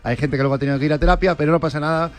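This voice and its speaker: A man's voice: on the high side, racing. A man hosting an interview, joking and exclaiming in a playful, theatrical way. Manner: jokey, theatrical, emphatic exclamations. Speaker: podcast host.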